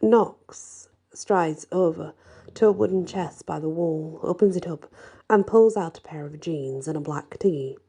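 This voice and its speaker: A woman reads a fantasy story in an ASMR style. Her voice sits low and is very dark and relaxed, with a husky, breathy edge. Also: a little slow. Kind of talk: scripted narration, ASMR story reading.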